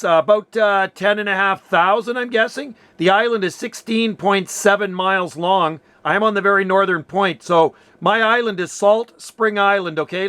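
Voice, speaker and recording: High-voiced man in his sixties. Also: sharp tone, smooth, no echo. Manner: friendly, chatty, uses slangy "man". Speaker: amateur radio operator. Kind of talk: casual two-way radio chat. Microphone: desk radio microphone, close.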